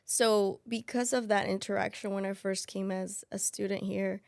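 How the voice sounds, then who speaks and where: A woman in her thirties whose first language is Spanish speaks in a gravelly, creaky voice. She talks thoughtfully in a casual interview, with many fillers and restarts, recorded dry and close. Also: mid-range voice, fairly even intonation, normal speed, no room sound. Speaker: financial aid specialist.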